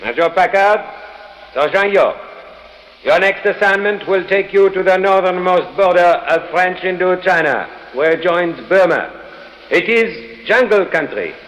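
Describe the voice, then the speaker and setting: An actor playing a stern, secretive spymaster who gives orders formally and deliberately in an old radio adventure. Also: male voice, sits high, animated, fairly bright tone. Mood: solemn. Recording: reverberant old radio drama recording.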